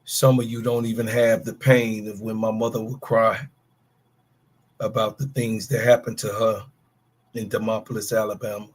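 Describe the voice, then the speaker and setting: A man's voice: on the low side, level tone, normal speed. A man in his fifties, co-hosting a sports talk show, ranting with emphatic, profane call-outs. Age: 50s. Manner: profane, emphatic, calls out players by name. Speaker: podcast co-host. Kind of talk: heated sports talk rant.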